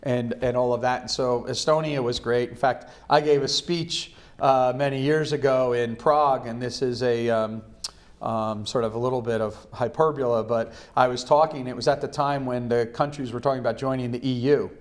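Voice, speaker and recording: A middle-aged man speaks as a guest on a studio talk show, lively and gesturing, often restarting his sentences. His voice sits at an ordinary pitch, and he sounds close to a lapel mic in a quiet studio. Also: moderate pace. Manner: animated, gesturing storyteller with restarts. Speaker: guest economist. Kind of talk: studio interview. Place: TV studio set, seated in armchair.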